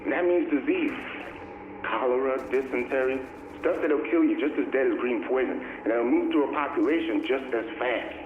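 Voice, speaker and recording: An actor playing a cynical, streetwise survivor of a collapsed city in a game audio log, talking grimly and colloquially. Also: male voice, mid-range voice, normal speed, close to an everyday voice. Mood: grim warning. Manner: colloquial, grim, world-weary. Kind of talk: dramatic monologue in a video game audio log. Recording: tinny, echoey, noisy recorded audio log.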